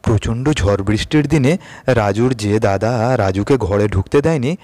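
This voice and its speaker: A man's voice: fairly low pitch, moderate pace, no room sound. A man narrating a children's moral story as a scripted storybook narrator. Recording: loud music under the voice.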